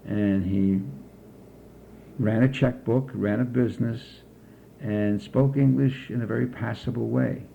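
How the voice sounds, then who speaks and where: Older male interviewee, gravelly low voice, unhurried reminiscing, tinny lo-fi recording. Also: fairly even intonation, slight background noise. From United States. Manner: slow, measured recollection with frequent pauses. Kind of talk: oral history interview.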